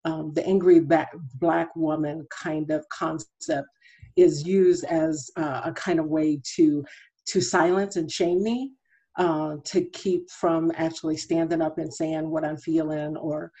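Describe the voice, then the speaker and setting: A middle-aged woman speaking as a counselor on a panel over a video call from home. She speaks in a measured way with many fillers. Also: low voice, dark tone, slightly rough. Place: room at home. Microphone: laptop webcam on a video call. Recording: muffled video call audio.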